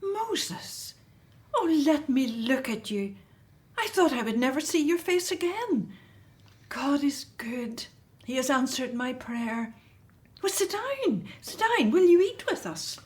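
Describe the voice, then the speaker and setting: A woman playing a devout, anxious, loving older Hebrew mother in an emotional, expressive style for a scripted radio drama. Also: high-pitched, slow, exaggerated voice. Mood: moved, tender.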